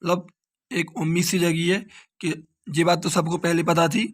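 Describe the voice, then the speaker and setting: A man's voice: fairly high pitch, flat delivery, normal speed. A man, an exam coaching teacher explaining details informally and repeating key points as questions and answers.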